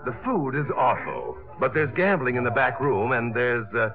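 An actor playing a smooth, flirtatious sculptor with a playful, hesitating manner, in 1950s American radio drama style. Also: male voice, medium pitch, very animated, moderate pace, natural voice. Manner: suave, playful, hesitating with uhs. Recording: tinny old radio broadcast, music behind.

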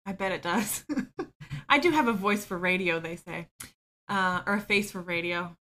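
Candid woman with a bright voice. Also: fairly low pitch, moderate pace. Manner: open and self-deprecating, laughs easily, frequent ums. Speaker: podcast guest. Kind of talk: candid personal storytelling.

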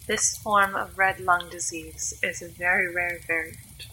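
A woman's voice: fairly low pitch, steady tone, measured pace, pushed and bright, a little hiss or hum, poor audio. A woman playing an ancient, sickly vampire-elf hybrid in an audio drama, guarded, wry and resigned in her delivery. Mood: resigned, sad.

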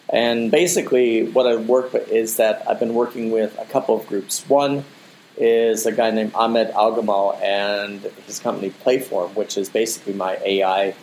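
A man's voice: lowish voice, darkish tone, a little hiss or hum, rough recording. A man, a digital artist, speaking as an interview guest in a casual, rambling way, often repeating "you know" and "the thing is.".

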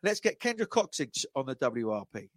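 A man hosting a sports podcast, interviewing guests in a casual, halting way that often repeats words. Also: medium pitch, big pitch swings, music in the background. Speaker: podcast host.

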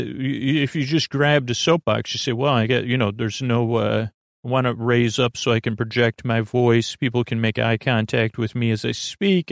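A man hosting a sleep podcast in a creaky voice, meandering hesitantly and soothingly from one digression to the next. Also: medium pitch, no room sound, studio quality. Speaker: podcast host.

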